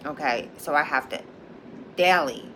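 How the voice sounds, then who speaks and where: A low-pitched woman with a Philadelphia accent, speaking emphatically and circling back to her points with a steady "okay?". Her casual monologue sits over a clearly audible background hiss. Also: unhurried, rough recording. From Philadelphia, United States. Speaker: high priestess and psychic.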